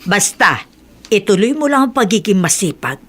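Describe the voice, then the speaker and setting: Devout, caring mother, earnest and motherly, very animated pitch, radio drama. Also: normal speed, husky. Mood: gently advising. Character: gentle, worried, pious middle-aged or older mother. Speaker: actor. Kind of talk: Tagalog radio drama acting.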